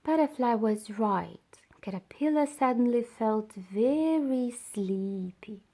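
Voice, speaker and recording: A woman reading a children's story aloud as storyteller, with deliberate, dramatised phrasing. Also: a little high, expressive, mellow.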